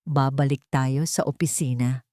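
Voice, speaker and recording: A woman's voice: extremely low pitch, fairly even intonation, normal speed, warm tone, dry recording, studio quality, pitched below the performer's own voice. A woman with a Filipino accent playing a wounded, commanding businessman in an audiobook, accusing and cutting. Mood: cold command. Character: wounded, bitter, commanding male business executive. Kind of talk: audiobook character acting.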